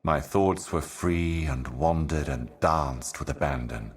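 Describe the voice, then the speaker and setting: Game role: ancient eternal envoy of darkness, bottomless low voice, slow, solemn, portentous delivery. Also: male voice. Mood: resigned. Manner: grave, brooding, measured, portentous. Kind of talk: scripted game monologue, cryptic poetic narration.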